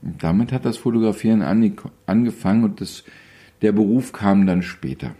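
A man in his fifties with a very low, mellow voice talks as a photographer in an interview. He rambles with wry, teasing humor and frequent hesitations. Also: moderate pace. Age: 50s. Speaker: photographer guest. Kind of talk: casual interview.

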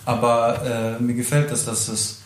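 A man's voice: medium pitch, monotone, a little slow. A man interviewing a musician in a friendly, hesitant way, with many fillers. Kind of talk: casual interview chat. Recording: echoey room, faint noise.

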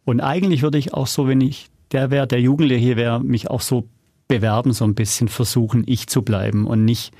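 A middle-aged man speaks as an interview guest in a gravelly, creaky voice. He tells things in a colloquial, anecdotal way and tags his statements with short questions. The recording is dry, with no room sound. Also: medium pitch, steady tone, a little fast, mellow, no echo. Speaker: interview guest, audio drama producer. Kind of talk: casual interview conversation, anecdotal.

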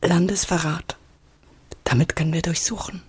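An actress playing a shrewd, cynical prosecutor in a radio play, with a dry, calculating manner. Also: female voice, on the low side, fairly even intonation, moderate pace, close to an everyday voice. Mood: cool resolve. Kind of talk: German radio play acting. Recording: clear ambient noise behind the voice.

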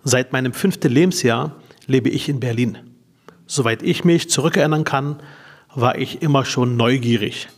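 A man's voice: mid-range voice, moderate pace, husky. Curious man in his forties interviewing. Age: 40s. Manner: curious, friendly questions with fillers. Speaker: politician interviewer. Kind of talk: casual interview. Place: daycare centre.

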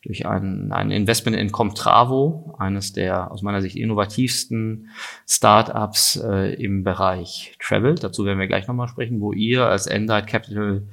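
Gravelly-voiced man hosting a podcast. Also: on the low side, steady tone, normal speed, fairly bright tone. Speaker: podcast host. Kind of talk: conversational interview.

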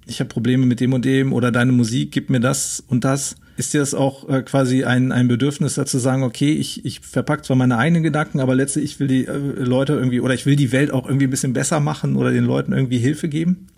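A man with a husky, gravelly voice, asking long interview questions. He hesitates often, with fillers and restarts, in a casual chat. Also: mid-range voice, fairly even intonation, quick pace, hardly any pauses, a little mellow. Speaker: podcast host.

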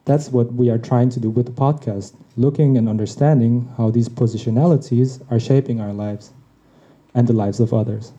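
A man whose first language is Tagalog reads a personal essay aloud, thoughtful and unhurried. His voice is deeply mellow and soft-edged. The recording sounds dull and a little lo-fi. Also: fairly even intonation. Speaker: podcast host.

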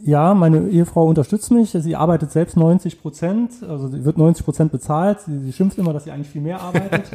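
A man's voice: fairly high pitch, fast, few pauses, warm tone. A man in his forties from the Saarland, answering interview questions in a casual, hesitant way with many fillers and restarts. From Saarland, Germany. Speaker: entrepreneur, interview guest.

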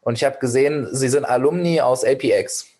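A man's voice: medium pitch, a little fast, a little mellow, breathy edge. A young man in his twenties, a startup founder, guest on a podcast, giving long earnest answers full of fillers and restarts. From Germany. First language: Persian. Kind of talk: podcast interview.

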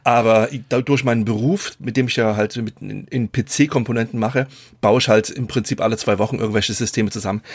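A husky man in his forties from East Germany, a guest on a podcast. He chats easily and pokes fun at himself, and a cold leaves him stuffy. His voice sits at an ordinary middle pitch for a man. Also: steady tone, a little fast. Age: 40s. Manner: self-deprecating and jokey. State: congested with a cold. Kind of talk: casual interview chat.

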